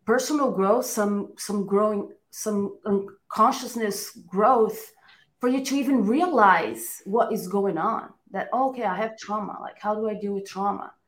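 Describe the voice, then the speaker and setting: A woman's voice: mid-range voice, measured pace. Brazilian-accented woman in a roomy space. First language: Portuguese. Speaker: podcast guest, coach and therapist. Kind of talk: conversational interview answers. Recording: roomy, echoey room sound.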